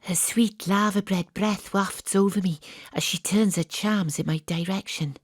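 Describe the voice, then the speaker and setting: An actress playing a wry, sceptical Welsh private detective in a noir-parody audio drama, with deadpan asides. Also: female voice, medium pitch, unhurried, breathy edge, no echo. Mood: wry, suspicious.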